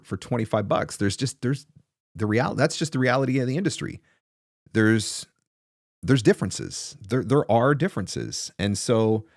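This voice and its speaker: A man's voice: fairly low pitch, dry recording. A man in his forties talking casually and emphatically as a livestream host and coach, close on a desk microphone in a small home studio. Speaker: host, voice-over marketing coach. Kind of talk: casual livestream advice talk, answering comments.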